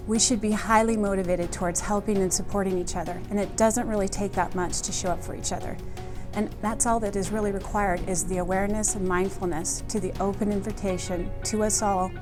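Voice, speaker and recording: A woman who serves as county recorder gives a heartfelt personal tribute. Her mid-pitched voice stays calm and sincere. Music plays clearly behind her. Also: brisk. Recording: background music clearly audible.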